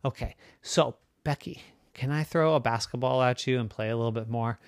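A parent telling a bedtime story, voicing Hope, a young girl who loves basketball, in a squeaky, startled voice. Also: a little fast, no echo, clean studio sound, natural voice. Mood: apologetic.

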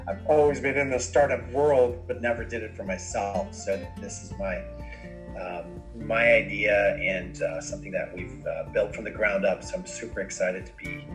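A middle-aged man from Ireland, a podcast guest talking casually and rambling with many fillers and restarts. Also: fairly low pitch. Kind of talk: casual interview chat.

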